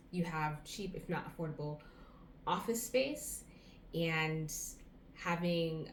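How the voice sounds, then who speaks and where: American woman, thirties, low voice, earnest advice, roomy echo, faint hum. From United States. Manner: earnest, advice-giving, lists things off. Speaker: vlogger. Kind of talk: casual talk to camera.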